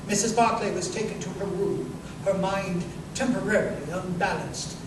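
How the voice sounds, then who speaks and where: An actor playing an incisive, self-assured detective in a live 1930s-style radio drama, speaking precisely and emphatically. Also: male voice, high voice, moderate pace, lightly acted. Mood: analytical. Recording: distant, echoey live stage recording, noisy room.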